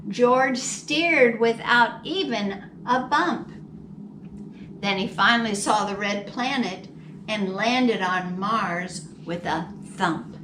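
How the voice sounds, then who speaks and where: A woman reads a children's storybook aloud with dramatic expression, taking her time over each phrase. Her voice is very bright and fairly high. It is picked up from a little way off in a roomy space. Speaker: library storytime reader. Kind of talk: children's picture book read-aloud. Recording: roomy, distant mic with faint noise.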